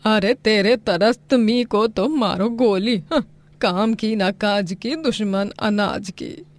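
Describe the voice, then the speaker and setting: A woman's voice: mid-range voice, slow, natural voice. A woman voicing a grumpy, scolding older mother-in-law in a story cartoon, sarcastic and full of exclamations. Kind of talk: moral story cartoon voice acting.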